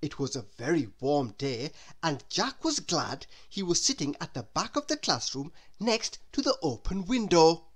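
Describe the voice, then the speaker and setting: A woman reading a children's story aloud in a deliberate, expressive way, as if to a young listener. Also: low-pitched. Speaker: storybook reader. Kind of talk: scripted children's story narration.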